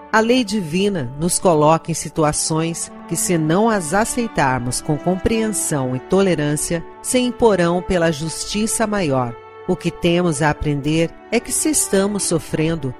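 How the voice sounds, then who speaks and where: A woman's voice: fairly low pitch, a little mellow. A woman reading a scripted, reflective moral commentary as a narrator. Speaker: commentator explaining doctrine.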